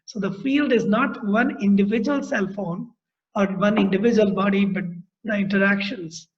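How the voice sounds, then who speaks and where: A middle-aged man with an Indian accent, interviewing a guest in a thoughtful, explanatory way over a video call from his home. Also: high voice. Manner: thoughtful, explanatory, speaks in long measured phrases. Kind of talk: reflective conversation. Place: room at home. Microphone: laptop mic on a video call. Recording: dull lo-fi video call audio.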